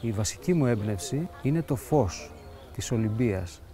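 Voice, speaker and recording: A man's voice: mid-range voice, expressive, a little fast, over music. A man speaking heartfelt words about his inspiration and team.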